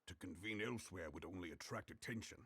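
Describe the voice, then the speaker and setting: A male actor playing a proud, gruff middle-aged warrior general in a video game, blunt and stately. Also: low voice, fast, a bit bright, dry recording, exaggerated voice. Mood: serious.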